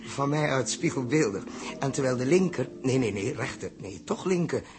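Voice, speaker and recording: Casting: male actor as melancholy dreamer, mid-range voice, hesitant and halting, vintage radio play. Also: medium pitch, animated, lightly acted. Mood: flustered. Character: troubled, melancholy man lost in his memories. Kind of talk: 1960s radio drama acting.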